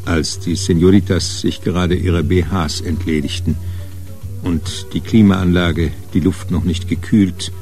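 A man's voice: sits low, steady tone, measured pace, darkish tone, faint music behind. A man narrating a scripted crime radio play as a literary storyteller.

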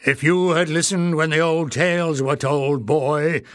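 A man's voice: on the high side, slow, fairly bright tone, clean tone, no room sound, studio quality, voice pushed up. A man voicing a wrinkled old male goblin official who gives stern, scolding orders. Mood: imperious.

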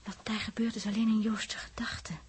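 An actress playing a compassionate, sorrowful woman on a space crew with a breathy, hushed voice, in 1960s radio drama style. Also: medium pitch, flat delivery, brisk, slight background noise, lightly acted. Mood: hushed, sad.

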